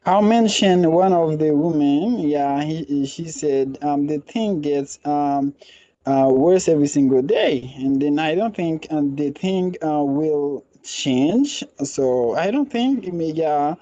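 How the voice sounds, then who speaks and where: A man's voice: a little high, animated, slow pace, mellow, no echo. A man answering a class discussion question, hesitant and searching for words. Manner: hesitant, many fillers and restarts. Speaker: student. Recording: muffled lo-fi online call audio.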